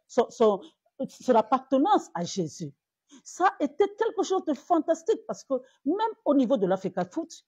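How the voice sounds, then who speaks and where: Husky-voiced woman pastor preaching live. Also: on the high side, dark tone, dry recording. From Cameroon. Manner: preaching, rising to emphatic peaks, repeating words. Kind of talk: live-streamed sermon.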